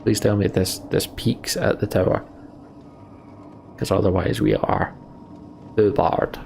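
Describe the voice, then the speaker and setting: A man's voice: sits low, slow, creaky, a little mellow, a little hiss or hum. A man hosting a let's play, reading game text aloud and commenting casually on his play. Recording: game music behind the voice. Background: game music.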